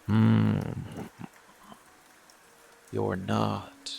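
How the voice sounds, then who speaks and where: A man voicing a seductive, possessive, protective monster lover in intimate ASMR roleplay, with a breathy, gravelly, often whispered voice and slow, halting, lingering delivery. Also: lowish voice, completely flat delivery, dark tone, a little hiss or hum, heavily acted. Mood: seductive, coaxing. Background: rain and music.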